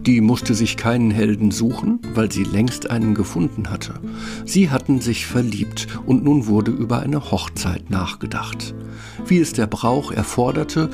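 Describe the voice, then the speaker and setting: A man's voice: medium pitch, vocal fry. A man narrating a scripted fairy tale as its storyteller.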